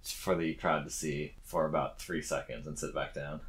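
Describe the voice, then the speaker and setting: A man's voice: very deep voice, fairly even intonation, moderate pace, gravelly, a bit bright. A man narrating a tabletop roleplaying game as its game master.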